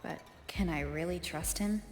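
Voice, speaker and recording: A woman voicing a composed, decisive adventurer in a video game, measured and reflective. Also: lowish voice, darkish tone, soft background music, lightly acted. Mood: wary, resolute.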